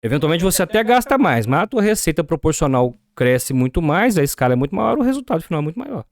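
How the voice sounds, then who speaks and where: Brazilian guy on a business podcast, kinda high voice that swings around a lot, dry close sound. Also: very animated, fast talker, no echo. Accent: Brazilian Portuguese. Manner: explanatory, asks rhetorical questions. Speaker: podcast guest.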